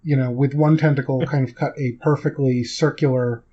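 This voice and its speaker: Husky-voiced man running a tabletop game. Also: medium pitch, fairly even intonation, moderate pace, dark tone, muffled recording. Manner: conversational, hedging with kind of. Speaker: game master. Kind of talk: improvised tabletop game narration.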